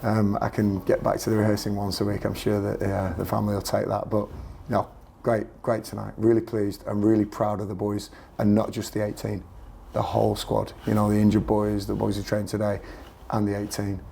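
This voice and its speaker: Brief: man, football manager post-match, gravelly and croaky, low mellow voice, flat delivery. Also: fairly quick, slight background noise. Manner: earnest, repeats himself while searching for words. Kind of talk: post-match press interview.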